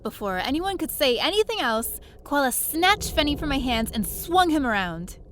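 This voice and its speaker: Woman acting as youthful adventurer narrator, curious, earnest, higher voice, audio drama storytelling, faint noise behind. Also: very animated, moderate pace, a bit bright. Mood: tense. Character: curious, chatty, sheepish young woman adventurer. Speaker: lead character and narrator. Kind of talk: first-person scripted story narration with dialogue.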